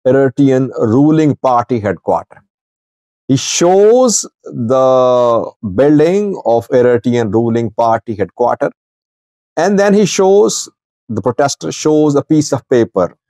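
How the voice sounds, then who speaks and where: Male news commentator, middle-aged. Soft-edged tone, halting short phrases, fairly dry close clip-on sound. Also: medium pitch, no echo. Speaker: news commentator, channel host. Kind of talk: informal political news commentary to camera. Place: small indoor office with wood-panelled wall. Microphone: small clip-on lavalier at chest.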